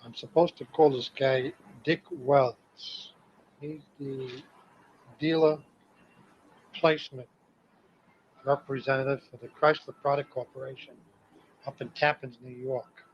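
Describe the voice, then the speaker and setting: Middle-aged male, mid-range voice, deliberate note-taking delivery, muffled old home tape with light noise. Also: medium pitch, fairly even intonation. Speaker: car business owner recording spoken notes. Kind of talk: spoken memo to himself. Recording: dull, noisy old home cassette tape.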